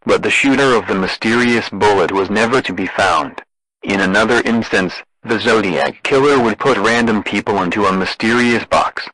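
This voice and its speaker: Deadpan robotic male alert announcer, low-pitched. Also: no room sound, poor audio. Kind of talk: scripted emergency broadcast announcement.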